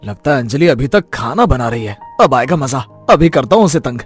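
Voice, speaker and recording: A man's voice: mid-range voice, very animated, fairly quick, soft background music, close to an everyday voice. A man voicing husbands and schemers in a melodramatic cartoon moral story, with an emphatic, theatrical delivery. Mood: mischievous. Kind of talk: dramatic cartoon story dialogue.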